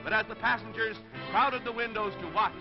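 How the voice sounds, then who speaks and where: A man's voice: high-pitched, brisk. A man narrating a 1930s newsreel in a scripted, dramatic and solemn delivery. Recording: muffled vintage 1930s newsreel soundtrack. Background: music.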